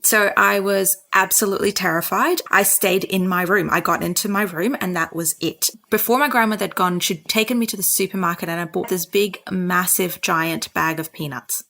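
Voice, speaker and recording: Young woman doctor, lowish voice with a gravelly fry, chatty and self-mocking. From Sri Lanka. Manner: lively, self-mocking, quick bursts. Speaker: doctor, guest. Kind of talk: casual storytelling interview.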